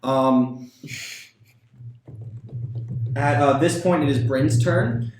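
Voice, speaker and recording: A man's voice: a little high, very slow, a little mellow, soft background music. A man running a tabletop game as game master, hesitant and full of fillers. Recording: very echoey room.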